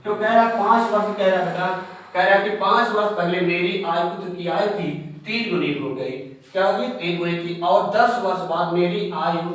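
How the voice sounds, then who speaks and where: A man's voice: high-pitched, moderate pace, fairly bright tone. A man teaching a math class, working each step aloud, asking the pupils a question and then answering it himself. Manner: repeats each step as a question to pupils, calls them beta. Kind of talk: math lesson at a board. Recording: echoey room, voice from far off.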